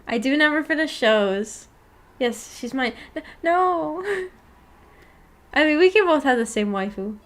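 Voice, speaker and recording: A woman with a fairly high voice chats casually on a livestream, answering her viewers as she goes. Her manner is playful and giggly, with teasing and informal slang. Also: lively intonation, takes it slowly, pauses often, fairly bright tone. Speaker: streamer reading and answering viewer chat.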